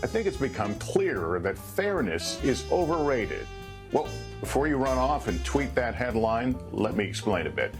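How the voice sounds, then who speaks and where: A man delivering measured, explanatory news commentary that sounds scripted. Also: medium pitch, sing-song, over music.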